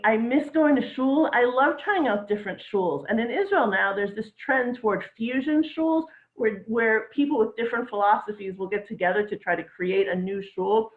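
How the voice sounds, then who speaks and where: A woman doing a stand-up set from home, with a dry, deadpan delivery. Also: a little high. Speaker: stand-up comedian. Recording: tinny echoey phone-like line.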